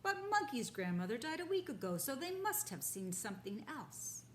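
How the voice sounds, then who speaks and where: A woman's voice: a little high, very animated, normal speed, a little hiss or hum, pitched above the performer's own voice. A woman voicing suspicious, gossiping male wild boars. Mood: fussy. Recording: echoey room with faint ambience.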